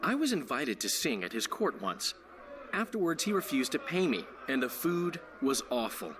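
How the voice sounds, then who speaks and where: An actor playing a flamboyant bard who dabbles in spying, theatrical and self-justifying in a video game cutscene. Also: male voice, medium pitch, very animated, normal speed, noisy background, close to an everyday voice. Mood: indignant. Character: vain, flamboyant male bard and amateur spy.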